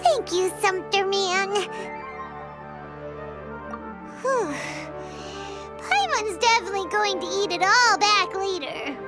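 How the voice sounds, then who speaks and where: A squeaky, childlike fairy companion from a video game. The voice sits extremely high and takes its time, bouncing up and down. Loud game music plays underneath. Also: female voice, animated, measured pace. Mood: relieved. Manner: talks of herself by name, blunt and greedy. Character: tiny floating childlike female fairy companion, chirpy.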